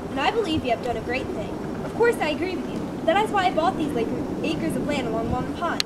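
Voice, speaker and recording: A measured, philosophical landowner who probes his friend's convictions, read by a woman. The voice is high and sits far from the microphone, with heavy traffic noise all around. Lines come as a stage reading. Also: lively intonation. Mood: earnest. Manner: measured, probing. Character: wise male landowner mentor, challenging and philosophical.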